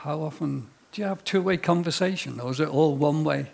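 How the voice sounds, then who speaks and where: A man with a gravelly, slightly husky voice, sounding a touch higher than most men. He preaches in short chunks, conversational and full of questions to the listeners. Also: brisk. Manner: conversational, asks the audience questions. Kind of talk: sermon with live interpreter.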